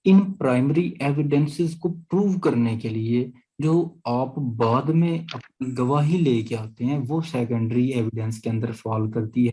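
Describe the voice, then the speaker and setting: A man's voice: medium pitch, dark tone, poor audio. A man with a Pakistani accent teaching a law class, explaining step by step and often checking understanding. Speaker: law lecturer. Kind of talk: explanatory class lecture with student questions.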